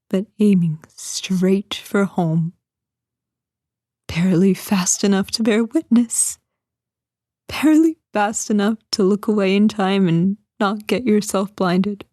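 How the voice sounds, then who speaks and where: Slow, hushed woman narrator. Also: medium pitch, no room sound, studio quality. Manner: hushed, half-whispered, wistful. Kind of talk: scripted audio drama narration.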